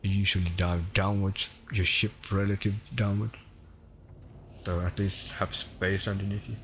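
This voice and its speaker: A man's voice: deep voice, little pitch movement, a little slow, slight background noise. A man with a Swedish accent, a Swedish native speaker, chatting casually and rambling as he streams a space game. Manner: chatty, rambling, self-correcting. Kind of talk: casual gameplay commentary.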